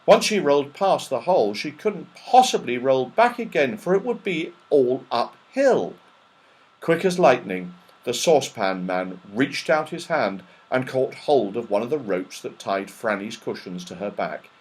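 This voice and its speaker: Animated man reading a children's story. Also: medium pitch, unhurried, breathy edge, rough recording. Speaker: audiobook narrator.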